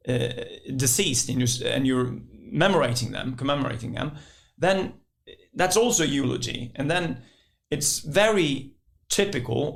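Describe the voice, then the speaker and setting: A Norwegian-accented man with a gravelly, fry-heavy voice talks in a hesitant conversational way. He fills his pauses with "uh" and hedges with "sort of" as he works through his thoughts. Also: mid-range voice. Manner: hesitant, with frequent fillers and "sort of". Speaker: podcast co-host. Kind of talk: conversational podcast discussion.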